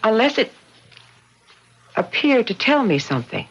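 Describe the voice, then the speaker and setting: Husky, expressive radio-drama housewife. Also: female voice, fairly high pitch, unhurried, heavily acted. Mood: uneasy, earnest. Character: earnest, uneasy middle-class housewife. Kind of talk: old-time radio mystery acting. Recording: dull, band-limited radio drama recording.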